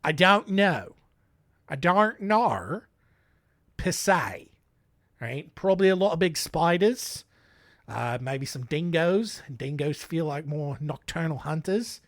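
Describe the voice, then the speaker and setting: A man's voice: a little high, unhurried, a bit bright, dry recording, clean studio sound. Man in a wobbly fake Aussie accent. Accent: put-on Australian, shaky. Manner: rambling, self-mocking asides. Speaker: storyteller. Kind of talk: comedic true-crime retelling.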